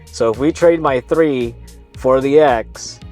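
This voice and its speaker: A man's voice: mid-range voice, slow, a little mellow, soft background music. A man with a stuffy, congested voice, hosting his own video in an easygoing, rambling way. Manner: easygoing, rambling with self-corrections. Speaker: vlog host. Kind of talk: casual talk to camera.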